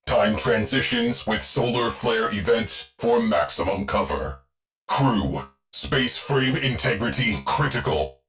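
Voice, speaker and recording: A man voicing a stern, deadpan artificial intelligence that runs a warship in a video game, giving clipped military orders. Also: mid-range voice. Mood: urgent, stern. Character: terse, grim military ship artificial intelligence, male, commanding. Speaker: shipboard tactical officer. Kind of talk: video game mission voice lines.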